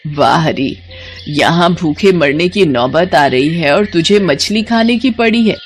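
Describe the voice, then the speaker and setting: A man's voice: a little high, slow, a little hiss or hum. A man voicing a weary, scolding elderly village mother-in-law in a Hindi cartoon story, exasperated and chiding. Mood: scolding, surprised.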